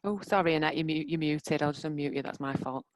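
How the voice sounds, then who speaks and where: A woman hosting a video meeting, calling on each person in turn, often apologetic and trailing off quietly. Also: sits low, fast. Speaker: meeting host. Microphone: video call.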